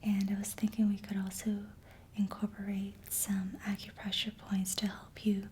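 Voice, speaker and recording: A woman whispering softly and slowly as an ASMR host, guiding a relaxing session. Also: medium pitch, monotone, slight background noise. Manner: soft whispering, slow and soothing.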